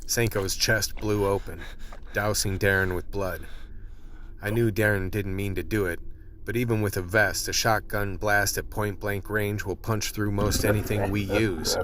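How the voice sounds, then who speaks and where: An actor playing a wry, world-weary cop narrating a radio play in a hard-boiled noir style. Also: male voice, fairly low pitch, background noise, natural voice. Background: guitar music.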